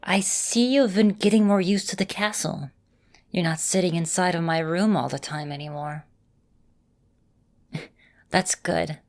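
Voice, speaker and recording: A woman voicing a caring, composed vampire mistress and mentor in an ASMR roleplay, speaking directly to the listener in measured, formal phrasing. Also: fairly low pitch, darkish tone. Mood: welcoming, protective. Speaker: voice actor in a roleplay audio.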